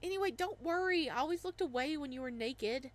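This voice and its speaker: A woman's voice: high voice, expressive, fairly quick. A woman voicing a cheerful, goofy young male god of winter who stammers and rambles bashfully. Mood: sheepish.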